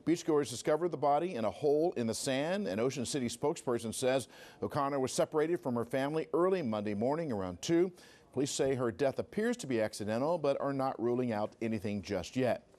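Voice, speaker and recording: A male reporter reads a scripted news update from the newsroom. He moves quickly, at a medium pitch for a man. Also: expressive, darkish tone.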